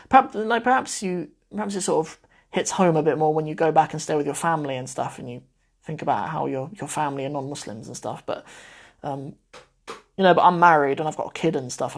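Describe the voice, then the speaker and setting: A man's voice: on the high side, fast talker, gravelly. Chatty young man on a phone livestream. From Cornwall, England. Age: 20s. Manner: chatty and meandering, often trailing off softly. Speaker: Arabic teacher hosting a live Q&A. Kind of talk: casual livestream chat. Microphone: phone mic.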